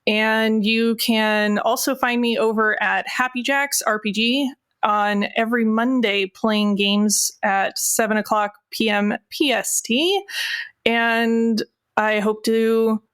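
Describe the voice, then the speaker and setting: A woman's voice: fairly high pitch, fairly even intonation, takes it slowly, a bit bright, smooth. A woman guest player on a tabletop game podcast, chatting casually in a playful, tongue-in-cheek way. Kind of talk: casual chat.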